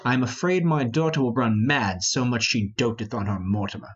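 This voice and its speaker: A man's voice: medium pitch, brisk, slightly rough, muffled recording, pitched below the performer's own voice, lightly acted. A man playing a commanding, solemn older lord and father in an amateur Shakespeare reading, declaiming the verse. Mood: anxious, exasperated.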